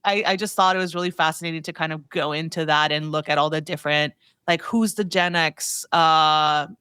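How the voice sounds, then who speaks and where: A woman's voice: deep voice, steady tone, a little fast, bright, forceful tone, clean tone, dry recording. A woman giving casual conversational commentary as a podcast guest, rambling informally and peppering her sentences with "like". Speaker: podcast guest, food trends newsletter writer.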